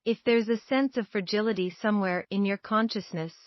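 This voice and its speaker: A woman's voice: medium pitch, steady tone, brisk, no room sound. Woman guiding meditation, dry muffled sound. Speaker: meditation guide. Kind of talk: scripted guided meditation narration.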